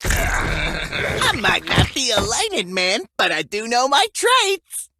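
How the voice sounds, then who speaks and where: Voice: mid-range voice, wildly swooping pitch, takes it slowly, very noisy, exaggerated voice. An actor voicing a cheeky, money-minded young trader in a video game, brash and teasing. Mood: cocky. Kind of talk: animated video game character lines.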